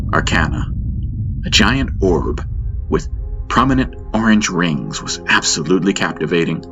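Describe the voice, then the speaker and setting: Deep-voiced man narrating sci-fi over loud music. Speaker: audiobook narrator. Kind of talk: scripted first-person sci-fi audio drama narration. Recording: dull muffled sound under loud music.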